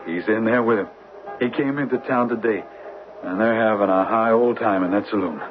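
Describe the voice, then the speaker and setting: An actor playing a dogged, hot-headed, vengeful young detective in a radio drama, speaking bluntly and folksily with small stammers. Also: male voice, lowish voice, moderate pace, music in the background, natural voice. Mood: eager. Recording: muffled old radio broadcast.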